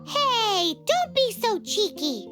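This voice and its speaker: A tiny game fairy with an extremely high, squeaky child's voice. She is chirpy, cheeky and eager, swinging brightly through her pitch over clear background music. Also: sing-song, slow, exaggerated voice. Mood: curious, cheeky. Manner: speaks of herself in third person, exclamatory. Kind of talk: video game voice acting.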